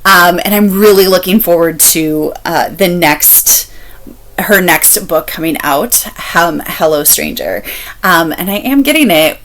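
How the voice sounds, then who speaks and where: A woman chatting casually as a book-review vlogger, candid and expressive, repeating phrases for emphasis. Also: on the low side, measured pace. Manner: candid, expressive, laughs while talking.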